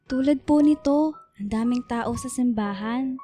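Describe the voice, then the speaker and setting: Actress as teenage daughter, high youthful voice, earnest, sensitive, radio drama, music bed. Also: female voice, unhurried, mellow, close to an everyday voice. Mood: innocent, affectionate. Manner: earnest, pleading, respectful. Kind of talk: Filipino radio drama acting.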